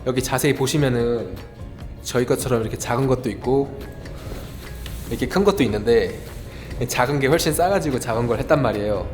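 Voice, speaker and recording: A young man explaining things in a casual vlog, friendly and quick. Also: medium pitch, a little mellow, faint music behind. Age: young adult. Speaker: vlogger. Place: car and post office.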